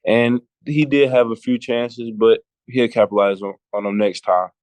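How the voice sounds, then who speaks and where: A teenage boy from Georgia, a football recruit answering interview questions politely, in a laid-back and halting way. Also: medium pitch, steady tone, a little mellow, dry recording. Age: teens.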